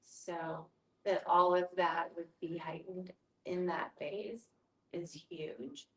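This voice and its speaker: A woman's voice: on the low side, steady tone, slow pace, a little hiss or hum. A woman speaking casually and reflectively as a facilitator in a group discussion, often checking in with her listeners. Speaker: guest facilitator, hospice social worker. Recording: echoey room, distant from mic.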